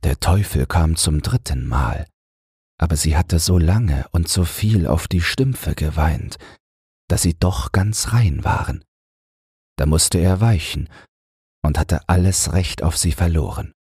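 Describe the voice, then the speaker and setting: A man narrating a fairy tale as a bedtime audiobook, in a low, husky, breathy voice. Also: slow pace, a little mellow, dry recording, clean studio sound.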